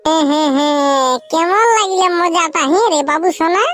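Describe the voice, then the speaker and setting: A squeaky, extremely high cartoon village fellow grumbles and teases in thick Purulia dialect. The delivery is exclamatory and comic, with faint music underneath. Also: a little fast, fairly bright tone. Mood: teasing. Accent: Purulia, West Bengal.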